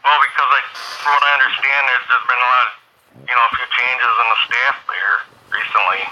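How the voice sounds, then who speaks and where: A man conducting an informal phone interview, asking questions in a halting, repetitive way. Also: medium pitch, steady tone, sharp tone. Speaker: interviewer, community watch member. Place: telephone call. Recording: band-limited phone call with faint noise.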